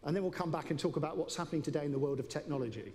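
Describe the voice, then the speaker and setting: Man, event host, high-pitched voice, informal introduction, long hall reverb. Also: racing, a little mellow. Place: event hall at a conference campus.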